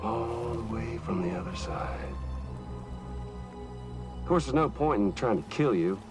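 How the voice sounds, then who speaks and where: An actor playing a vengeful, taunting villain back from the dead in a video game, with a drawling, mocking, theatrical delivery. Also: male voice, medium pitch, lively intonation, measured pace, music in the background, natural voice. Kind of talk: cinematic video game acting.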